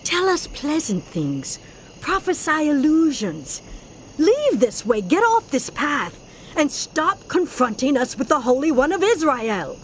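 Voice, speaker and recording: A rebellious ancient townswoman in an audio scripture drama. Her high voice swings widely, insistent and scornful, with faint noise behind it. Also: big pitch swings, a little slow. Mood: defiant, scornful. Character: defiant rebellious woman of ancient Judah.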